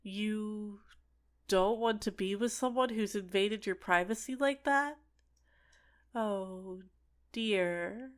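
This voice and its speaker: A woman's voice: medium pitch, slow, long pauses, lightly acted. A woman playing an obsessive, sentient dating-sim character in an ASMR roleplay, clingy and coaxing with menacing sweetness. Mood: sharp, then apologetic. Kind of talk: scripted ASMR roleplay monologue.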